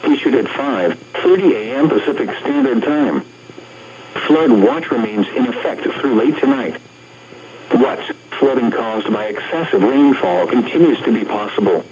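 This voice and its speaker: A man's voice: mid-range voice, animated, unhurried, a little mellow, slight background noise. Muffled synthetic American man on weather radio. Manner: impersonal, segmented synthetic delivery. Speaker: automated weather radio announcer. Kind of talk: scripted weather report reading.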